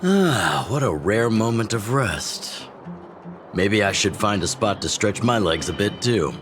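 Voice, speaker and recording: A low male voice plays a middle-aged chief in a video game. He is jovial and fatherly, a mentor who likes to tease. Music runs clearly behind him. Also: very animated, measured pace. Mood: genial. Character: older male underground chief, genial, teasing mentor. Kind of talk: video game dialogue acting.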